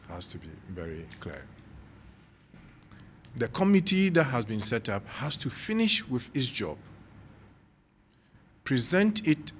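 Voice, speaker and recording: A man with a Ghanaian accent giving an official statement in measured, halting short phrases. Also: mid-range voice. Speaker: civil aviation official. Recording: faint background noise.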